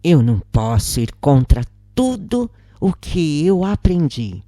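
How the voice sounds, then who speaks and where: A woman's voice: low voice, sing-song, slow, mellow, no echo, clean studio sound, exaggerated voice. A Brazilian woman voicing a hurt, indignant young male student in a story, with reproachful emphasis. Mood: hurt, firm.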